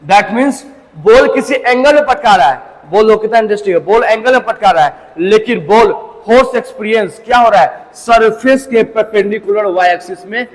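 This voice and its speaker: High-voiced man teaching physics in a hall. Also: moderate pace. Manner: mixes Hindi and English, keeps asking the class questions. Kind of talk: classroom lecture. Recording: long echo in a large hall.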